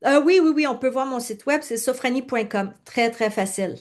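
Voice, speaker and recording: A woman's voice: sits high, moderate pace. A woman from Quebec with a Quebec French accent, speaking casually as a coach being interviewed. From Quebec, Canada.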